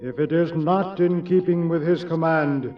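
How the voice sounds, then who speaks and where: An actor playing God as a solemn, commanding, admonishing voice from heaven in a religious audio drama. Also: male voice, on the high side, steady tone, unhurried, close to an everyday voice. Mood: solemn warning. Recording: distant, muffled old cassette drama. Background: music.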